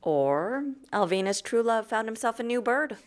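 An actress playing a dry, deadpan woman who runs an agency in a comedy audio drama. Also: a little high, normal speed, close to an everyday voice. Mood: wry. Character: dry, unflappable woman boss of a secret agency. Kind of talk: comedy fiction podcast acting.

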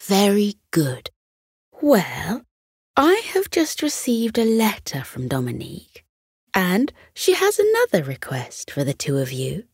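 A woman's voice: mid-range voice, huge pitch swings, slightly rough, no room sound, studio quality, full character voice. Woman voicing a formal, kindly, lilting head teacher. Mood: kindly, reassuring. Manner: polite, measured, formal. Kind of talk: children's bedtime story dialogue.

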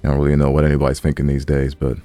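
A man's voice: rumbling low, monotone, racing, mellow, dry recording, soft background music. A man co-hosting a podcast in casual banter, informal and easygoing, rambling a little as he talks. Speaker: podcast co-host.

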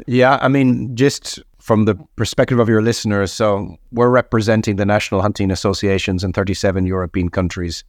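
Man, interview guest, low pitch, slightly husky from a cold, measured, explanatory. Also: steady tone, darkish tone, no echo, studio quality. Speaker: guest, head of a hunting federation. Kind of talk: interview answers.

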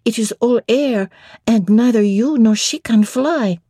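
High-pitched, pert little girl. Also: a little slow, warm tone, husky, dry recording, studio quality. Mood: pert objecting. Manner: pert, matter-of-fact, questioning. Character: pert, practical little French girl, curious and argumentative. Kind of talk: dramatic audiobook reading of classic novel.

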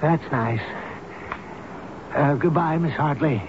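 A man's voice: a little high, slow pace, background noise. An actor playing an addled, childlike old man, hesitant and folksy, in a radio drama. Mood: gentle, distracted. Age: older. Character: addled, childlike old man, devoted to his beloved elevator. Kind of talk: 1970s radio drama acting. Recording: dull radio broadcast with music.

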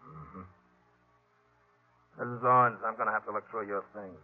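An actor playing a bossy, menacing gang leader in a 1950s radio western, curt and scornful. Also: male voice, lowish voice, moderate pace, soft background music, lightly acted. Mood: menacing, commanding. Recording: muffled old 1950s radio recording.

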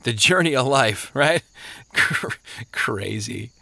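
A man's voice: medium pitch, animated, gravelly, bright, forceful tone, no echo, exaggerated voice. Man putting on a groggy, slurring, careless layabout. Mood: drowsy amusement. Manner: slow, vague, shrugging. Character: groggy, indolent man named Sloth, easygoing and careless. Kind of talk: audiobook character voice.

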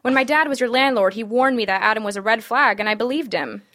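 A woman's voice: a little high, quick pace, fairly bright tone, close to an everyday voice. A woman reading the part of an earnest, stern young landlord in a comedic sitcom table read. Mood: regretful.

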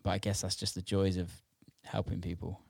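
Low-voiced man hosting a casual interview show. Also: little pitch movement, brisk, creaky, a little mellow, dry recording, clean studio sound. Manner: informal, slangy.

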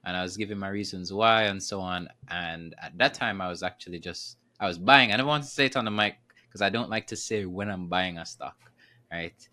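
A man's voice: deep voice, a little fast, pushed and bright. A man who is a podcast guest, talking about his stock picks in an easygoing, rambling way. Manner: easygoing, rambling, frequent fillers. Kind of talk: casual chat about stocks.

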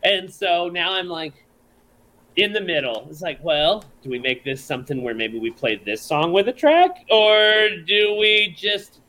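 A man's voice: high-pitched, measured pace, sharp tone. A man who is a songwriter and performer, chatting casually as a podcast guest, laid-back, swearing freely and laughing often.

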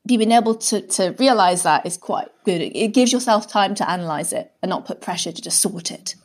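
A British woman speaks as a guest in a casual interview. Her voice sits at a middle pitch, and she is chatty and candid. She explains things through personal examples, often voicing her own self-talk. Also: normal speed. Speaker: guest, scientist and writer.

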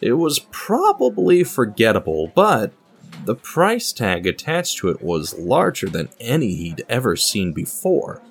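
A man's voice: mid-range voice, wildly swooping pitch, a little slow, gravelly, slightly rough. A man narrating a scripted dramatic story, reading as a storyteller. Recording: music under the voice.

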